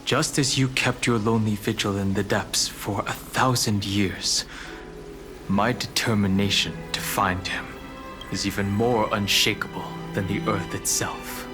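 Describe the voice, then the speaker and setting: A man playing a steadfast, stoic warrior in a game, speaking in a measured and earnest way. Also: fairly low pitch, steady tone, over music, close to an everyday voice. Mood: solemn vow. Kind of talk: dramatic game voice acting.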